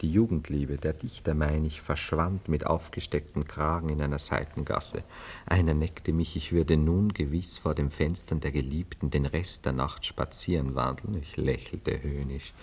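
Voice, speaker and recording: An actor playing a nervous, theatrical bridegroom in a classic German radio play, evasive and given to florid, self-dramatizing storytelling. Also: male voice, rumbling low, fairly even intonation, moderate pace, husky, full character voice. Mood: dramatic, wistful. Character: nervous, theatrical bridegroom confessing on his wedding morning.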